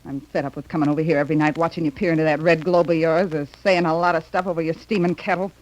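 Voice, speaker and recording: An actress playing an impatient, scornful, hard-bitten wife in brusque 1930s radio drama style. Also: female voice, low voice, fairly even intonation, quick pace, darkish tone, muffled recording, natural voice. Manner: brusque, scoffing. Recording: old 1930s radio recording, slight noise.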